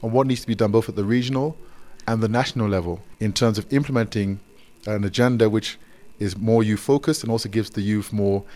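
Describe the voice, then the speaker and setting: A man's voice: fairly low pitch, fairly even intonation, brisk, dark tone. A man speaking as a communications officer, explaining off the cuff in an interview.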